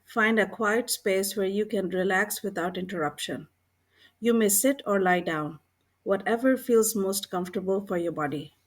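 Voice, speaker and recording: A woman leads a guided meditation with a calm, gentle delivery. She reads in short, measured phrases and leaves unhurried pauses between them. Her voice sits at an average pitch for a woman. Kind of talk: scripted guided meditation narration.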